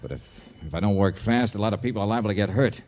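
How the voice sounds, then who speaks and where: An actor playing a brash, wisecracking private investigator in a 1950s radio drama, with clipped, slangy, insistent delivery. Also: male voice, fairly low pitch, quick pace, a little mellow, long reverb tail, muffled recording, lightly acted. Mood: hurried, determined.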